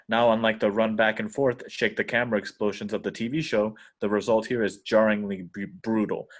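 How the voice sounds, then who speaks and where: A man narrating screenplay action lines at a table read. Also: fairly low pitch. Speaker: reader of stage directions.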